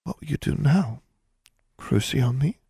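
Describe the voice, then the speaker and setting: A man's voice: medium pitch, animated, normal speed, creaky, darkish tone, husky, dry recording, studio quality, pitched above the performer's own voice, full character voice. A man voicing a spiteful, sneering teenage girl witch in a storybook reading. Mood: taunting. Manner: taunting, sneering.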